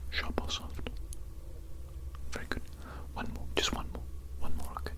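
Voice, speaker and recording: Evil doctor for ASMR roleplay, female performer: breathy whisper, politely menacing, deliberate, repetitive phrasing. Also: natural voice. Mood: soft, clinical, praising. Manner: slow, deliberate, repeating phrases softly.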